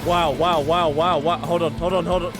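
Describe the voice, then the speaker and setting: Excitable high-pitched man over loud game music. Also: normal speed. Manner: excitable, repeats words and phrases. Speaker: gaming streamer. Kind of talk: live gameplay commentary.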